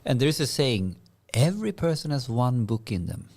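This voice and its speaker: A man's voice: mid-range voice, very animated, moderate pace, a little mellow. A man co-hosting a podcast, chatting enthusiastically, often repeating words and jumping in with questions. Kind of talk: casual conversation.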